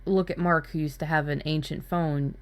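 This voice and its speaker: A woman talking casually as a writing workshop co-host, retelling her ideas in an informal, expressive way. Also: low voice, normal speed, clean tone. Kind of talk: casual workshop chat, recounting own brainstorm.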